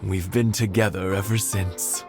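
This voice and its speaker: Low-voiced gentle old man. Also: fairly even intonation, measured pace. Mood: fond, wistful. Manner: hesitant, musing, with soft chuckles. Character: elderly husband, gentle, reflective, fondly reminiscing. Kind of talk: video game voice acting. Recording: music under the voice.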